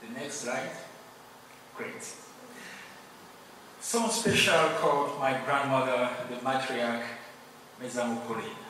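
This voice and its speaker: A man from Cameroon, a native Yemba speaker, giving an earnest, grateful award acceptance speech with measured pauses. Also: mid-range voice, pushed and bright. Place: large hall. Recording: echoey hall, distant mic, noisy.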